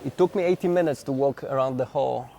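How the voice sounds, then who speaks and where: A young man from Poland with a Polish accent, a native Polish speaker, chatting in English in a relaxed, thoughtful way while walking outdoors. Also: medium pitch, quick pace, gravelly, slight background noise. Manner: relaxed, thoughtful, with many uhs. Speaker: guest, local resident. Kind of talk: casual conversation while walking. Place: outdoors on a residential street.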